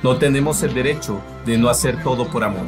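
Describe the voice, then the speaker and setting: A man's voice: medium pitch, fairly quick, over loud music. A man preaching a sermon in an earnest, emphatic way, voicing it as a translation.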